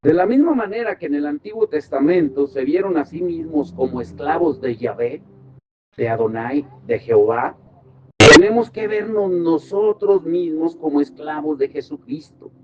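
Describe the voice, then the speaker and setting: A man's voice: on the high side, big pitch swings, moderate pace, background noise. A man teaching a devotional Bible study as a pastor. He asks the group questions and sends them to verses. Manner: asks rhetorical questions and directs listeners to verses. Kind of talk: teaching sermon. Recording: slightly dull with music behind.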